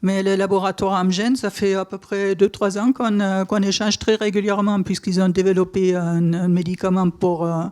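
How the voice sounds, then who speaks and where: A middle-aged German-born woman with a Toulouse accent and German as first language, giving a halting personal account at a panel discussion. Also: mid-range voice, little pitch movement, warm tone, smooth. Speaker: patient association president. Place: on stage at a conference. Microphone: stage microphone.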